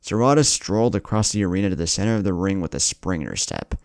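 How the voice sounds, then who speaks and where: A man's voice: sits low, big pitch swings, fast talker, gravelly, no room sound, studio quality. A man reading a dramatic scripted story as its narrator.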